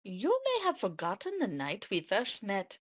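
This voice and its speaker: A cryptic fantasy warrior woman played by a woman in a table read, husky and unhurried. Her delivery is grave and dramatic, with pitch that swings widely as she shares secrets. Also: wildly swooping pitch. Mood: solemn.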